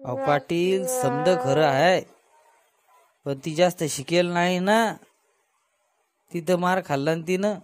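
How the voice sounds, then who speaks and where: A man's voice: a little high, slow, long pauses, soft background music. A man voicing a worried, hesitant cartoon village headman with a folksy Varhadi Marathi accent. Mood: hesitant, practical. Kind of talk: comic cartoon dialogue.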